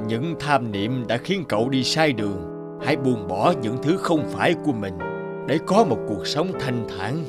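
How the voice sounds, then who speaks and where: A man voicing a calm, wise old counsellor puppet in a cartoon, slow and solemn. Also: mid-range voice, lightly acted. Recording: loud music behind.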